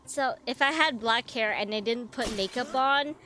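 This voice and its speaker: A young woman with a Vietnamese American accent, chattering excitably with her chat while streaming a game, full of slang. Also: a little high, moderate pace, a little hiss or hum. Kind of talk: casual gaming stream chatter. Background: game music.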